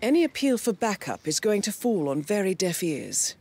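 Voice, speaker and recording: A woman's voice: mid-range voice, big pitch swings, normal speed, a little mellow, husky. A cool female mission handler. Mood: briefing. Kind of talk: game cutscene voice acting.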